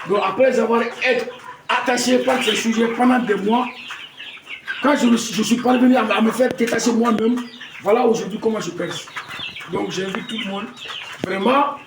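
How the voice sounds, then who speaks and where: A man in his fifties with a Malian French accent shows off his poultry farm, proud and repetitive, often restarting his words. His voice sits high for a man. It is heard from a distance in an echoey space, with clear farm noise and clucking behind him. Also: steady tone, normal speed. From Mali. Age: 50s. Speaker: poultry breeder. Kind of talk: farm tour talk to camera. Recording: echoey, far from the mic, noisy farm.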